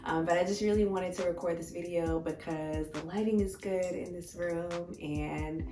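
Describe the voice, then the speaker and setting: An American woman with a slightly hoarse, raspy voice, chatting informally and expressively to her viewers in a casual life-update vlog. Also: on the low side, fairly even intonation, normal speed. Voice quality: a little raspy. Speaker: vegan food vlogger and host. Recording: echoey room, distant mic, faint music.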